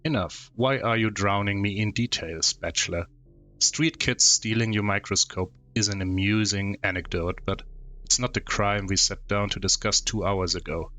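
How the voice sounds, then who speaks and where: German guy reading a severe inspector, flat delivery, low but bright. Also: normal speed. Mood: curt. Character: severe male inspector of a special committee.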